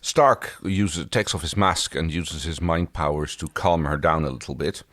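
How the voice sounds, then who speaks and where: A man's voice: a bass voice, moderate pace, a bit bright, no echo. A man co-hosting a podcast, casually talking through a TV episode. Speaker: podcast co-host.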